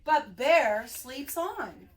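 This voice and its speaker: A woman reading a picture book aloud like a preschool teacher, expressive and sing-song for young children. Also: sits high, extremely slow.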